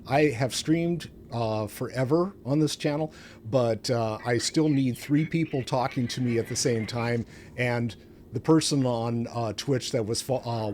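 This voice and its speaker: Man chatting over clear background noise. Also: mid-range voice, darkish tone. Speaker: streamer, show host. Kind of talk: casual live game-stream chat. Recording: clearly noisy home stream audio.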